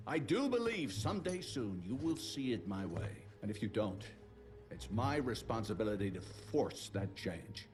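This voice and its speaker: An actor playing a stern, overbearing institute director in a video game, with a formal, measured and authoritative delivery. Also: male voice, fairly low pitch, big pitch swings, music in the background. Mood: exasperated, threatening. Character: stern, commanding male director of monster-hunting institute, overbearing father. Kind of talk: dramatic video game acting.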